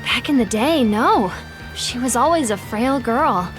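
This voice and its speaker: A woman acting a gentle young friend in a video game cutscene. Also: on the high side, lively intonation, measured pace, over music, low-quality recording. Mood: thoughtful.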